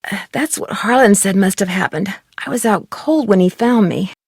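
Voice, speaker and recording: A woman's voice: mid-range voice, moderate pace, breathy edge, no room sound, studio quality. A woman playing a polite, inquisitive young amateur detective in a video game, speaking earnestly.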